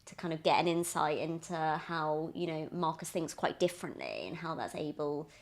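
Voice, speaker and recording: A woman's voice: deep voice, animated, normal speed. A woman co-hosting a podcast, chatting casually. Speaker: podcast co-host.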